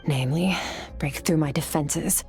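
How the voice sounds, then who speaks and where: An aloof, blunt young woman warrior in a video game. Her voice is on the low side, deadpan and clipped, with proud condescension, over music. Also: measured pace. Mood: detached.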